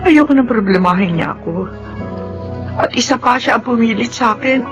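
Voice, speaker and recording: Casting: weary, suffering wife in melodrama, plaintive delivery with very lively melody. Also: female voice, a little high, moderate pace, over music. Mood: weary resigned. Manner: plaintive, pained. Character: long-suffering battered wife and mother, weary, tearful. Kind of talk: melodramatic drama acting.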